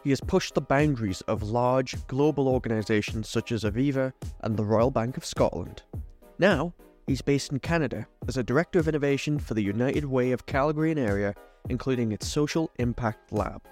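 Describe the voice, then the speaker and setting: A man reading a scripted introduction of a podcast guest in an even, read-aloud way. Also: mid-range voice, brisk, soft background music. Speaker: show announcer.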